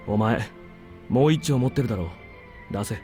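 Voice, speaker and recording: A man performing a visual novel army superior with a dark, mellow voice and a quick delivery. He is composed, sardonic and quietly menacing, speaking over background music. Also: medium pitch, expressive, lightly acted. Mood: curt, cold. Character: cool, commanding military superior officer, dryly sardonic. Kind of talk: Japanese visual novel voice acting. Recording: game music under the voice.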